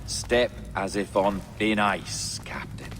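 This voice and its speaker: An actor playing an eerie, self-possessed pirate sage in a video game, speaking in an eloquent, cryptic, theatrical way. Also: male voice, fairly low pitch, steady tone, slow, fairly bright tone. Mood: smug, grand. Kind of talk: dramatic video game acting. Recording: noisy outdoor ambience behind the voice.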